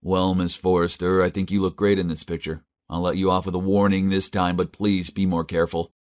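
A man voicing a stern but kindly male police officer, measured and official, in a narrated story. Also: low-pitched, level tone, natural voice. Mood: measured, lenient. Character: stern but charmed male traffic cop.

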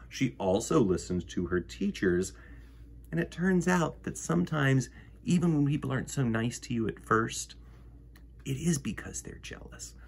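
A man in his forties hosting children's storytime, reading and chatting in a theatrical, expressive way. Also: a little high, gravelly, slightly rough. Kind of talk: animated picture-book reading and chat for children. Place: indoor room with bookshelves.

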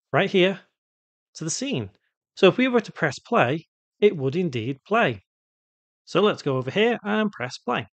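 A man with a somewhat high-pitched voice presents a software tutorial. He talks casually and without a script, thinking aloud as he works through each step. The sound is close and very dry, as a voice-over. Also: no room sound, dull audio. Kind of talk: unscripted software tutorial narration.

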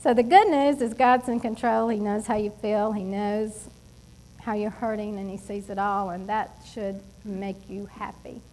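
A middle-aged woman preaching a sermon from a church pulpit, emphatic and animated, with asides to the congregation. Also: medium pitch, a little slow, a little hiss or hum, rough recording. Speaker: pastor. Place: church stage at a wooden pulpit.

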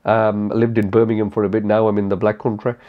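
A man in his forties with an Indian English accent, speaking candidly to camera in a casual question-and-answer video, halting with many fillers. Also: on the low side, flat delivery. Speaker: motorcycle YouTuber. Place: small cozy room indoors. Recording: slightly dull lo-fi audio.

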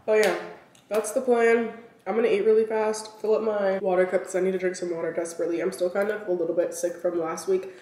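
A young woman in her twenties chatting casually to camera for her vlog, her voice a little raspy from a lingering cold, rambling with lots of fillers as she eats. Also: medium pitch, level tone, fast, warm tone, a little hiss or hum. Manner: chatty, filler-heavy, talks while eating. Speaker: vlogger. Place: home kitchen and walk-in closet. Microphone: camera set on counter at arm's length. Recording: echoey room, somewhat far from mic.

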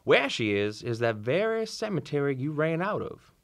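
An actor playing a kindly old small-town Southern country doctor in a radio play, with a folksy, drawling storyteller's manner. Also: male voice, on the high side, sing-song, moderate pace, no room sound, studio quality. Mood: folksy storytelling. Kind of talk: old-time radio drama acting. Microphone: shared vintage-style studio microphone.

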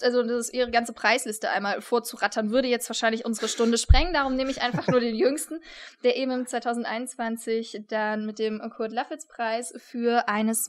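Higher-voiced woman hosting an interview. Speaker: interview host. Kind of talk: semi-formal introduction and conversational interviewing. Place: indoor room, on a video call. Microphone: desk microphone.

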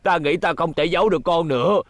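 A man's voice: fairly high pitch, quick pace, a bit bright, no echo, lightly acted. A man playing a remorseful, tender older father explaining himself earnestly in a dubbed cartoon. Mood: apologetic. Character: remorseful older father, tender toward his robot son.

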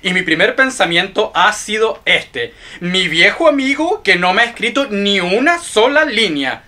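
An actor with a Chilean accent playing a boisterous, cheerful, middle-aged globetrotter in a radio play. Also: male voice, high-pitched, sing-song, moderate pace, sharp tone, exaggerated voice. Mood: joyful. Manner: exuberant, theatrical.

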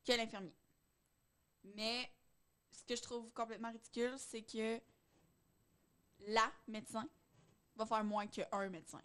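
A woman's voice: on the high side, level tone, measured pace, pauses often, fairly bright tone, clean tone. A young woman with a Quebec French accent, speaking emphatically and with strong opinions in a casual group discussion on a close studio mic. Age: young adult. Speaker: podcast panelist. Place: small podcast studio. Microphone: close studio microphone on boom arm.

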